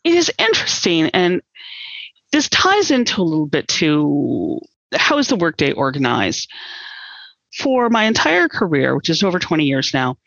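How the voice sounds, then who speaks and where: A middle-aged American woman explaining her work as an interview guest, in deliberate, measured phrases. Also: sits low, sing-song, creaky, fairly bright tone, no room sound, muffled recording. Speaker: air traffic controller, interview guest.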